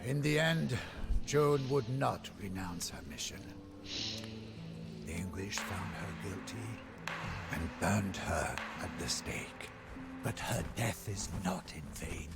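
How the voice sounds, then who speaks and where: A man playing a battle-weary French soldier who narrates a video game's storybook journal in a solemn, theatrical, measured way. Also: low voice, animated, creaky, over loud music, exaggerated voice. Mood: stirring resolve. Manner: solemn, theatrical, measured delivery with hushed phrase endings.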